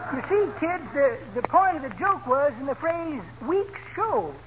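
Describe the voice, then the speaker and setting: An actor playing a folksy, teasing, wheezy old codger in a 1940s radio comedy. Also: male voice, extremely high pitch, expressive, slow, exaggerated voice. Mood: jolly, teasing. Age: older. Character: cackling, folksy old codger who tells corny jokes. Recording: muffled, faintly noisy 1940s radio recording.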